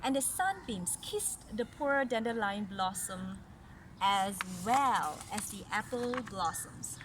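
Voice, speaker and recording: A woman reading a fairy tale aloud to children in an instructive way, pausing for chatty comments and questions. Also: mid-range voice, animated. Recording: noisy home recording.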